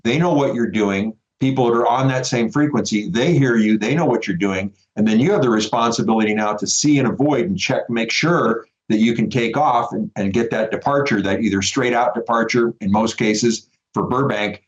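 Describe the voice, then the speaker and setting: A man's voice: mid-range voice, normal speed, few pauses. Dull lo-fi feed, male expert guest. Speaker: veteran pilot, expert guest. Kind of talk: conversational expert explanation. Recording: dull, lo-fi remote connection.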